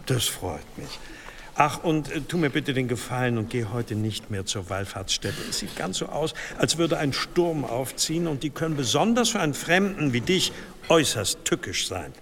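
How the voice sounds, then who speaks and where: A man's voice: medium pitch, animated, normal speed, fairly bright tone. A man playing an authoritative monastery abbot in a radio play, formal, courteous and paternal in manner. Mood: guarded, polite. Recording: long reverberant hall, some noise.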